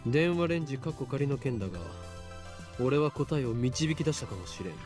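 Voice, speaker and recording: An actor playing a grandiose, eccentric young mad scientist with a pompous, theatrical delivery in a visual novel. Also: male voice, medium pitch, lively intonation, very fast, natural voice. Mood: serious. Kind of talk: Japanese visual novel voice acting. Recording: game music under the voice.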